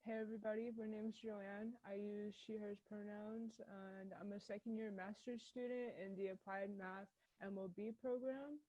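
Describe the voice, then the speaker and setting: A young woman from the Bay Area in California, a master's student, introducing herself quietly on a video call. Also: mid-range voice, fairly even intonation, moderate pace, dry recording, lo-fi sound.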